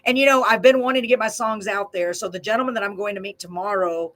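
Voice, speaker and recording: Gushing, excitable woman hosting live. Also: mid-range voice, quick pace. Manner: gushing, enthusiastic, repeats phrases for emphasis. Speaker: live radio show host and amateur songwriter. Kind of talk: casual live show chat. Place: small local radio studio.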